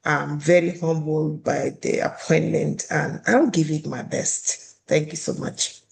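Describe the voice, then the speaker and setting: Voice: medium pitch, little pitch movement, measured pace, creaky, a little hiss or hum. An advisory board chair from Cameroon gives acceptance remarks, speaking with many restarts.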